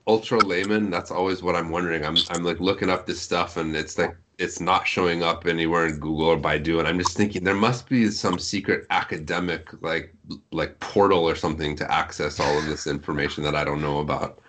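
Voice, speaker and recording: Guy with a deep voice explaining stuff casually on a podcast call, modest about himself. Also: fairly even intonation, a little fast, a bit bright, a little hiss or hum. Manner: self-deprecating, explanatory. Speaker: guest, literary translator. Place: at home on an internet call.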